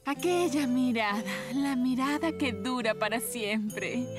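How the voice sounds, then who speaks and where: Surly shop clerk, slightly high voice, romantic streak, cartoon, clear music behind. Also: female voice, slow. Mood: dreamy, romantic. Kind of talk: cartoon voice acting.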